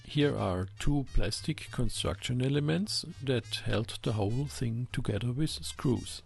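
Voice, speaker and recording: Male narrator for technical explainer: unhurried, gravelly, methodical, mid-pitched, with faint music behind. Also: a little mellow. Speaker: host of a ham radio electronics channel.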